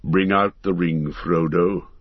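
Old wizard, solemn and commanding, man reading, lower voice, muffled. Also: steady tone, slow, no room sound.

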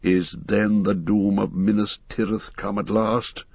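A man's voice: on the low side, flat delivery, measured pace, creaky, breathy edge, dry recording, muffled recording, heavily acted. A man reading the part of a proud, blunt warrior from a besieged city.

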